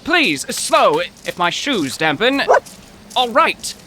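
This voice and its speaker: Jittery bookish professor, pompous florid speech, swooping intonation, old radio adventure style, noisy ambience. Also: male voice, sits high, big pitch swings, slow, full character voice. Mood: anxious. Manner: pompous, florid, theatrical diction. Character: fussy, cowardly male professor, bookish and easily alarmed. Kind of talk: old-time radio adventure drama acting.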